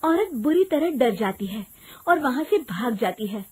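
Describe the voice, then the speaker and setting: A woman's voice: a little high, big pitch swings, measured pace, darkish tone, husky. A woman narrating a scripted children's cartoon story.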